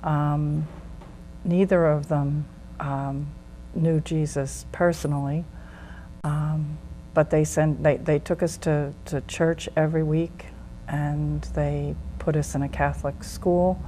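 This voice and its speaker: A middle-aged American woman speaks in a low, level voice, recounting her past plainly with occasional fillers. A faint hum runs underneath. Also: little pitch movement, takes it slowly, dark tone, rough recording. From United States. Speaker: guest, former nun. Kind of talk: interview testimony.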